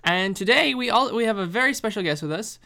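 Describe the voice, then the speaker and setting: American male host, slightly high pitch; rambling, halting delivery with many restarts. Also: lively intonation, creaky, bright, forceful tone. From United States. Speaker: podcast host. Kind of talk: casual podcast chat.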